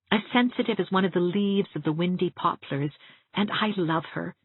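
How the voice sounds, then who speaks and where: A woman narrates a storybook in an expressive, scripted first-person style. Her voice is a little low and noticeably breathy. The recording is very dry and narrow, as if through a telephone. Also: dry recording. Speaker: audiobook narrator. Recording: narrow phone-like sound, very dry.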